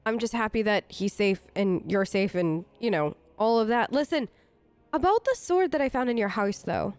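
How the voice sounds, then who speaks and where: A woman's voice: medium pitch, animated, normal speed, darkish tone, clean tone, dry recording, soft background music. A woman doing casual in-character commentary as she role-plays through a video game, chatty and reassuring, thinking aloud. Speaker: gaming host, role-play let's player. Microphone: close microphone, dry voice-over.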